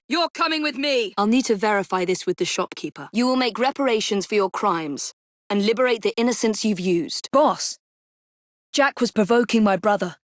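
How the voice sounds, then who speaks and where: An actress with an English accent playing a poised, determined young Victorian assassin in a video game, speaking in crisp, formal phrases with dry wit. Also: female voice, medium pitch, no room sound, lightly acted. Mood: stern, urgent. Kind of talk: dramatic video game voice acting.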